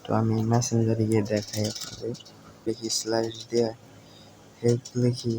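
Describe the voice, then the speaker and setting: A man with a Bangladeshi accent narrates an informal screen tutorial, explaining step by step in a halting way. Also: mid-range voice, completely flat delivery. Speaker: tutorial presenter. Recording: home recording with faint background noise.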